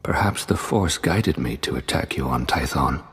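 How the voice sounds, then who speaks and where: A man's voice: a bass voice, expressive, moderate pace, breathy edge, exaggerated voice. A man playing a remorseful, haunted Jedi in a video game, somber and hesitant. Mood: humbled, brooding. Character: remorseful, haunted, very deep-voiced male Jedi. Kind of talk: dramatic scripted game acting. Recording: long hall-like reverb, music behind.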